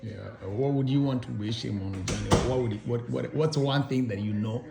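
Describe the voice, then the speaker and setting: A middle-aged man conducting an informal interview, speaking haltingly and rephrasing his questions as he goes. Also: medium pitch, creaky, noisy background. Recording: echoey room with people in background.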